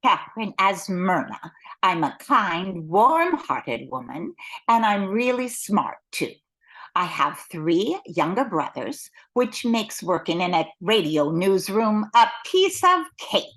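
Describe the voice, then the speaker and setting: A woman's voice: mid-range voice, very animated, takes it slowly, a bit bright, heavily acted. Older woman as swooping, earnest newsroom gal. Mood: warm, proud. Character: kind, smart, excitable young-spirited female newsroom worker. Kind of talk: 1940s radio comedy acting.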